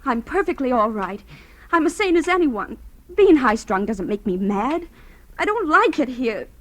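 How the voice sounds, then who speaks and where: An actress playing an anxious young heiress in a halting, dramatic old radio-drama style. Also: female voice, high-pitched, sing-song, fairly bright tone, dry recording. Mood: frightened, distraught. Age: young adult. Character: high-strung, anxious young heiress, aged twenty-two. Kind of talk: vintage radio drama acting and first-person narration. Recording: noisy, crackly old radio recording.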